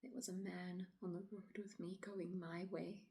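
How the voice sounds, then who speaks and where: A woman acting a shaken city woman in a dramatic stage monologue, pleading her account to police in a halting, often hushed voice. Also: fairly low pitch, little pitch movement, natural voice. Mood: haunted, fearful. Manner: halting, hushed, often breathy near-whisper.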